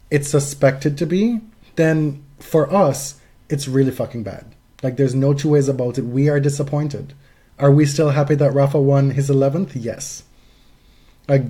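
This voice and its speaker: A man's voice: medium pitch, steady tone, measured pace, warm tone. A man co-hosting a casual sports podcast, giving opinionated commentary in an emphatic, freely swearing way. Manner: emphatic, frequent profanity. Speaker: podcast co-host.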